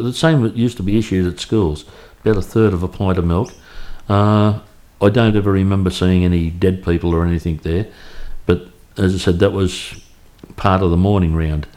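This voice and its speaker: An Australian man in his fifties reminisces about his childhood, his low voice staying flat and even. His tone is dark and mellow. He speaks haltingly, circling back and restarting his sentences. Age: 50s. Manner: halting, anecdotal, many restarts. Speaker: oral history interviewee. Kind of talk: reminiscing in an interview. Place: home in Cremorne, Sydney.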